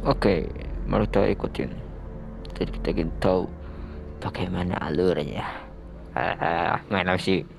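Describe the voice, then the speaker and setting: A man's voice: deep voice, measured pace, creaky, pauses often. A man hosting a casual reaction video, blurting slangy exclamations and swearing, with English phrases mixed in. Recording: music from the watched video behind. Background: game roleplay video audio and music.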